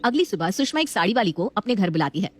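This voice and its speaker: A woman's voice: mid-range voice, big pitch swings, fast talker. A woman storyteller narrating a scripted family tale.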